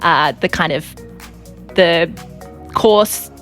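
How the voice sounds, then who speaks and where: A woman's voice: fairly low pitch, drawn-out words, a bit bright, soft background music. Laughing young Australian woman. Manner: laughing, self-deprecating. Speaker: band member, guest. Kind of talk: casual radio interview.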